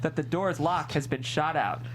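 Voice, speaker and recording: A man's voice: on the high side, normal speed, background noise. A man reading stage directions as scripted narration at a screenplay table read.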